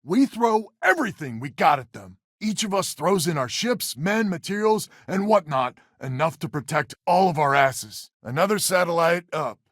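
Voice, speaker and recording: A stubborn, weary leader of space settlers in a video game. He is folksy and sardonic, his voice set a little high for a man and moving freely up and down, recorded close and dry. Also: very animated, moderate pace, hardly any pauses, no room sound. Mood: earnest, resolute, then businesslike. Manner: folksy, sardonic, plain-spoken. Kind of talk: video game character dialogue.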